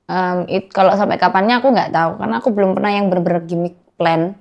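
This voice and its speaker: A woman's voice: on the low side, fairly even intonation, fast talker, gravelly, a little mellow, highs cut off. A young woman from Madiun with a thick Javanese accent, chatting casually as a podcast guest and dropping in Javanese and English words. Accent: Javanese-accented Indonesian. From Madiun, East Java, Indonesia. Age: young adult. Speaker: guest singer.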